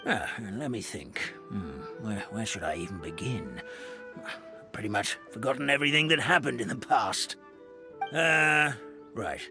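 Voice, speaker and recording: A man's voice: lowish voice, expressive, unhurried, music in the background. An actor playing a chubby, forgetful old male paper hamster who chuckles and sighs as he rambles through the forest's history. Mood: storytelling. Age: older. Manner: doddering, chuckling, sighing storyteller.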